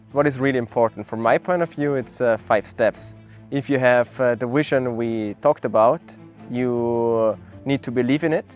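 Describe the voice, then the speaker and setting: Earnest young man, soft music. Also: mid-range voice. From Austria. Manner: earnest and reflective, with frequent filler pauses and restarts. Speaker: network marketing ambassador. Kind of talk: promotional testimonial interview.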